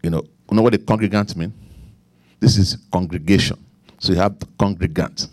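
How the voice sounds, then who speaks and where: A middle-aged man with a Nigerian accent preaching a sermon, repeating key lines, leaning into emphatic points and then dropping low for quiet asides. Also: steady tone, a little fast, dry recording, clean studio sound. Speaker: pastor.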